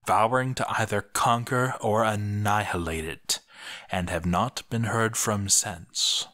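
A male narrator reads a scripted tale with drama and weight. His voice is fairly low yet forceful, and he speaks slowly and portentously. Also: dry recording, studio quality.

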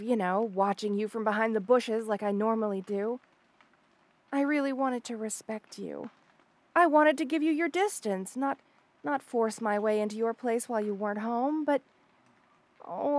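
Woman acting a high-voiced lovestruck snake girl. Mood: nervous, apologetic. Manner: hesitant, gushing, stammering coyly. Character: obsessive lovestruck female rattlesnake lamia, shy yet unsettling. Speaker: audio roleplay voice actor. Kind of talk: intimate audio roleplay monologue.

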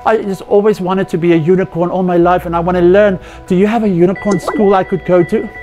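A man's voice: sits high, level tone, fast talker, a little mellow, lightly acted. A man voicing a shy little male pony in a children's story, stammering and hesitant. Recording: music playing behind.

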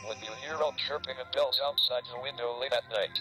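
A man's voice: medium pitch, steady tone, pushed and bright, natural voice, poor audio. Man as ominously polite holiday announcer over music. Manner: measured, formal. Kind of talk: slow instructional horror narration. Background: clear music.

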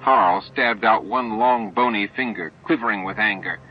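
A man's voice: fairly low pitch, moderate pace, slight background noise. Male audiobook narrator, muffled lo-fi tape. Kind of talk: scripted fiction narration. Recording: dull lo-fi audiobook tape with music.

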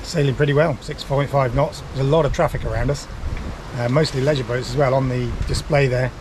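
A man's voice: medium pitch, fairly even intonation, fairly quick. A man in his fifties, a sailing vlogger from the UK, talking to a camera held at arm's length in the cockpit of a yacht out at sea, with dry, self-mocking humour. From United Kingdom. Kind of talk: casual vlog narration and to-camera chat. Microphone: action camera at arm's length. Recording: outdoor camera audio with clear wind noise. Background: wind and water noise.

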